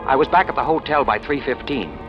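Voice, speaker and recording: An actor playing a cool, wry small-time crook in clipped, dry, hard-boiled old radio noir style. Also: male voice, mid-range voice, lively intonation, quick pace, lightly acted. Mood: brisk narrating. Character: cool, wry small-time crook and informer, narrating his own story. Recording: muffled old radio recording, music behind.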